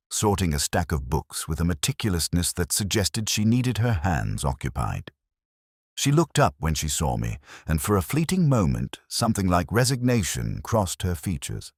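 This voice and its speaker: Male narrator for literary detective fiction: extremely low register, measured and solemn yet varied in intonation. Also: slightly rough, no room sound, clean studio sound. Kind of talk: scripted first-person detective story narration.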